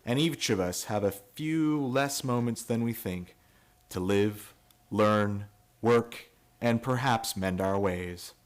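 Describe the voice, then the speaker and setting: A fairly low male voice acted as the host of an eerie radio play. He is grave and philosophical, delivering scripted introductions with measured solemnity.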